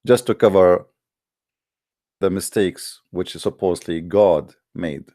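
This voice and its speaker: Low-voiced combative male host, Arabic native. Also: slow, mellow, no echo, clean studio sound. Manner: emphatic, challenging, mocking. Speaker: host of a call-in debate show. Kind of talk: combative monologue.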